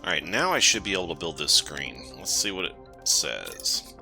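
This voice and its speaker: A man's voice: sits low, moderate pace, gravelly, music in the background. A man with a cold hosting a gaming channel, chatting casually and thinking aloud as he plays. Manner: relaxed, thinking aloud while playing. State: has a cold. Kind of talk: casual let's-play commentary.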